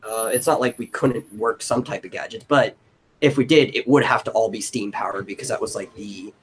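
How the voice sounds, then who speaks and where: A man's voice: fairly low pitch, fairly even intonation, brisk. A man hosting a casual brainstorming chat, thinking aloud in a loose way. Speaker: host of a brainstorming stream. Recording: noticeable background noise.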